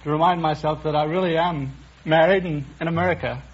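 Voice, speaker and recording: A man's voice: on the high side, fairly even intonation, quick pace, slightly rough. A man from Ireland with a Donegal accent, answering as a contestant on a 1950s radio quiz show. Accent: Irish, County Donegal. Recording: dull 1950s radio recording, faint noise.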